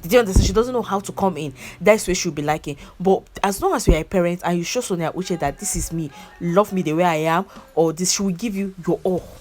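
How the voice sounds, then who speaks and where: Lady rambling about a movie, low-ish voice, keeps repeating "very very", quiet music behind. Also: quick pace, few pauses. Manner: chatty, repeats words, bursts of laughter. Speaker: movie reviewer. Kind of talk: casual movie review chat.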